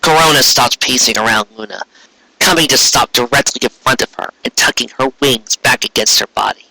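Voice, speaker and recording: Very bright, raspy male story narrator. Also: medium pitch, a little fast, no room sound, low-quality recording. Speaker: narrator reading fan fiction.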